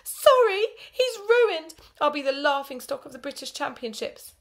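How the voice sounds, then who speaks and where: A woman's voice: a little high, animated, measured pace, fairly bright tone. A woman voicing a strict middle-aged female schoolteacher who flies into shrieking fury, in a read-aloud story. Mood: furious, shrieking. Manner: shrieking when angry.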